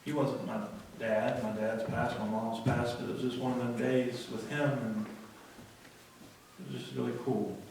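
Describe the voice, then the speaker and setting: A man recalls a day with a friend in halting, rambling sentences. His pitch stays flat throughout. The sound comes from across a very echoey church with steady background noise. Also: creaky. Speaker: mourner sharing a memory. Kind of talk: informal memorial remarks. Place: church sanctuary. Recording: echoey room, mic far off.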